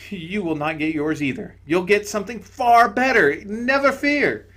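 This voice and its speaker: A serene, radiant male spirit, played by a man narrating in an audiobook, speaking with gentle, patient calm. The voice is lifted noticeably higher than the reader's own and rings bright. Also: moderate pace.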